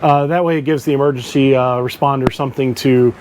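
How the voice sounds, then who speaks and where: A man hosting an informal instructional boating video, speaking in a halting way as he searches for his words. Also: mid-range voice, fairly even intonation, darkish tone. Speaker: boating channel host. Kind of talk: informal instructional explainer to camera. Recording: clear background noise.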